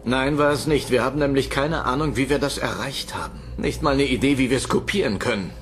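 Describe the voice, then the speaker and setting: A man's voice: mid-range voice, fairly quick, a bit bright, lightly acted. An actor playing a cold, clinical male boss in a sci-fi audio drama, speaking with authority. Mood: displeased. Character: cold commanding male boss ordering dissections. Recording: dramatic music under the voice.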